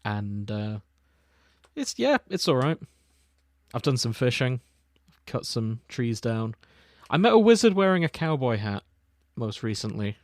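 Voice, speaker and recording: A man with a mid-pitched voice chats casually as a podcast co-host. He rambles in a jokey, giggly manner. The sound is close and dry, with no room on it. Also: sing-song, measured pace, no room sound. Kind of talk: casual comic chat.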